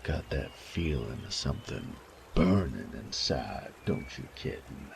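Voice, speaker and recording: A man playing a sadistic, teasing bandit in an audio roleplay, with a gravelly voice and a taunting, coarse drawl. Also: big pitch swings, unhurried, slight background noise, heavily acted. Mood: teasing, seductive. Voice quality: gravelly, creaky low voice. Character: sadistic bandit rogue, menacing, teasing, mocking, flirtatious. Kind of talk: roleplay audio drama acting.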